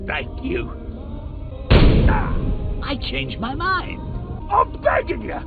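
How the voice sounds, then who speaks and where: An actor voicing a manic, mocking male clown villain in a video game, with a gravelly, sing-song delivery. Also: very high-pitched, wildly swooping pitch, slow pace, heavily acted. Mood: gleeful menace. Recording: tinny phone-like sound with loud music.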